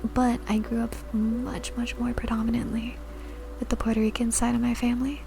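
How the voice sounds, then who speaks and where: A woman streamer whispering soft, giggly ASMR chat to her viewers into a sensitive mic. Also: fairly high pitch, flat delivery, fairly quick, gravelly, slight background noise. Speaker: VTuber streamer.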